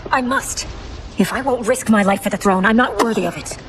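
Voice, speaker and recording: An actress playing a fierce, defiant young princess. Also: female voice, fairly high pitch, a little fast, heavy background noise, natural voice. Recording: loud orchestral game score behind.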